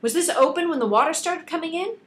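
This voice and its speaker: A woman reading the part of a capable, impatient female ship mechanic in an audiobook, giving brisk instructions. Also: very high-pitched, lively intonation, voice pushed up. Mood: commanding.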